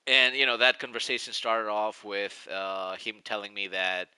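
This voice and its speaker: A man's voice: lowish voice, moderate pace, bright, forceful tone, smooth, no room sound, poor audio. A man in his forties with a Greek accent, rambling and nostalgic as he chats casually on a live stream. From Greece. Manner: rambling, nostalgic, restarts often.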